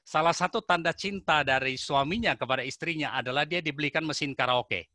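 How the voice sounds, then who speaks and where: A man's voice: fairly high pitch, fairly quick, sharp tone, dry recording, clean studio sound. A man hosting a webinar, introducing the guest with friendly, teasing asides to the audience. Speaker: webinar host.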